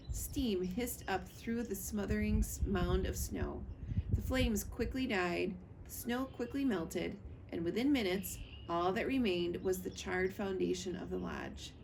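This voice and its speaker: A woman narrates a children's storybook in the manner of a school librarian. Her voice has a noticeable creak at a middle pitch. She is recorded on a screened porch with clear background noise. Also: poor audio. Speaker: school librarian reading aloud.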